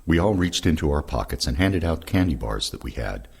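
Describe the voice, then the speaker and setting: Very husky, gravelly deep-voiced man. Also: sing-song, a little fast, darkish tone. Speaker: reader of veterans' recollections. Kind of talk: reading oral history aloud, scripted narration.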